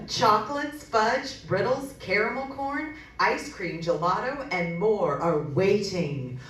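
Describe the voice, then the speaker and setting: A woman's voice: mid-range voice, lively intonation, slow, fairly bright tone. Woman for playful scripted radio spot, theatrical and tongue-in-cheek, very echoey, off-mic. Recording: very echoey, distant, faint noise.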